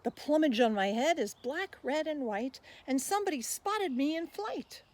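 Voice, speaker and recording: A woman's voice: high voice, expressive, moderate pace, a little mellow, pitched above the performer's own voice. A woman voicing a proud, rare woodpecker in a dramatic, rhyming storybook delivery. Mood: proud, earnest. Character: proud, rare ivory-billed woodpecker back from extinction.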